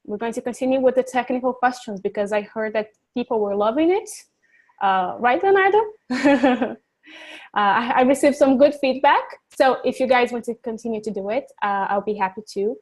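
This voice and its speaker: A woman's voice: on the high side, normal speed. A woman teaching an online English class, chatty and encouraging, laughing easily and joking about herself.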